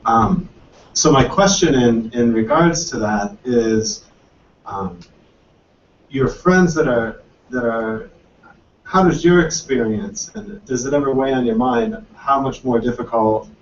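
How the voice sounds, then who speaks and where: A man's voice: mid-range voice, takes it slowly, slight background noise. Rambling man asking a question, echoey. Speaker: audience questioner. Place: conference room. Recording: echoey, distant, dull room audio.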